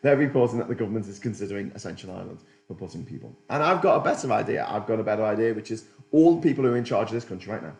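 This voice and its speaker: A man's voice: on the low side, gravelly, a little mellow, echoey room, low-quality recording. A middle-aged man hosting a political livestream, rambling sardonically and reading out chat comments. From Britain.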